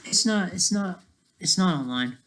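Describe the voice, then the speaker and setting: Rambling, off-the-cuff medium-pitched solo talker. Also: expressive, moderate pace, a bit bright. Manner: tags statements with "right?"; rambling, trails off mid-sentence. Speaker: amateur video creator.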